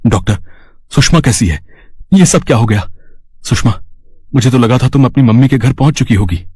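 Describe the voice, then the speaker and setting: Man acting an earnest, husky family man. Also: medium pitch, lively intonation, moderate pace, a little mellow, no echo, studio quality. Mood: anxious. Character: grateful, earnest well-off young husband and father. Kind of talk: cartoon story voice acting.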